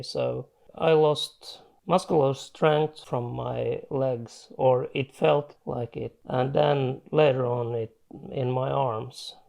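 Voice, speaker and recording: Gravelly man in his forties. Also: mid-range voice, a little mellow. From Finland. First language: Swedish. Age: 40s. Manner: halting, with frequent restarts and self-corrections in careful non-native English. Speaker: client giving a testimonial. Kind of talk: reflective personal testimonial.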